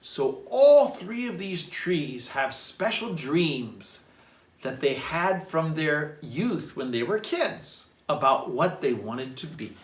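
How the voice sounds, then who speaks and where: A man, a priest, reading a children's story aloud in an expressive, deliberate way and adding reflective asides. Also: on the high side. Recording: roomy echo, distant microphone.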